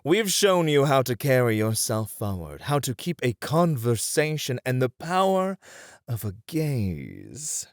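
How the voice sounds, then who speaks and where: A man playing a suave, playfully formal etiquette tutor in an ASMR roleplay, with theatrical, deliberate, flowery phrasing. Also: mid-range voice, huge pitch swings, dry recording, clean studio sound. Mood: courtly, instructive.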